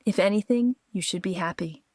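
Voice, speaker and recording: A woman's voice: mid-range voice, fairly quick, darkish tone, husky, dry recording, close to an everyday voice. A woman playing a conflicted, defensive and vulnerable married mother in an audio drama.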